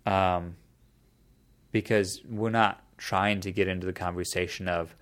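A man with a very deep, low voice talks through an idea in relaxed conversation. He explains patiently and circles back to restart his sentences as he thinks. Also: unhurried. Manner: thoughtful, explanatory, with frequent restarts. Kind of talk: casual conversation.

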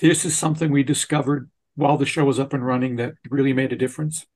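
A man's voice: medium pitch, steady tone, fast talker, a little mellow. A man hosting a conversational interview, linking anecdotes and putting questions to his guest. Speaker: podcast host interviewer.

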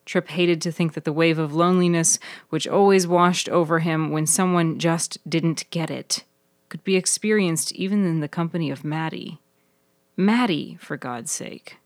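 Casting: female narrator for serial fiction, low pitch, very dry studio-like sound. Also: moderate pace, no echo. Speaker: author and narrator.

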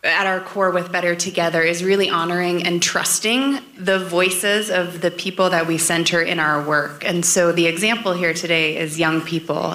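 A woman who directs a nonprofit, giving short remarks at a forum in an earnest, measured way with some fillers. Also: lowish voice, fairly bright tone, reverberant.

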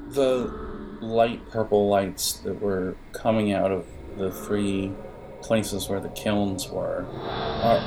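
A man improvising the narration of a tabletop adventure as game master, in a halting, conversational way. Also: on the low side, poor audio.

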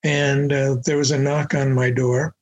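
An older man from Los Angeles, a retired professor, recalling his life in a reflective interview with many pauses and fillers. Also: mid-range voice, level tone. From Los Angeles, United States. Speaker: guest, professor emeritus. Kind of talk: conversational interview.

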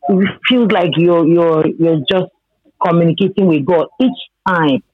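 A woman gospel singer interviewed over the phone, speaking haltingly with hesitant restarts. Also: lowish voice. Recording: tinny phone line, faint music.

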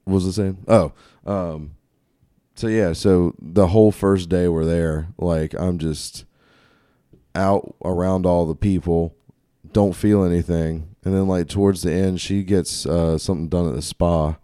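A congested man with a deep, dark voice rambles through a story, swearing freely and laughing at his own jokes. The sound is close and dry, with no room around it. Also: fairly even intonation, a little slow, clean tone, dry recording. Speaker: podcast co-host. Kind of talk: casual profane banter.

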